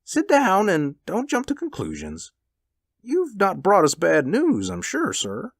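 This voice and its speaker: A man voicing a gentle, earnest, slow-witted elderly husband in a story. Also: sits high, wildly swooping pitch, no echo, studio quality, pitched above the performer's own voice, heavily acted. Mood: hasty reassurance. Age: older.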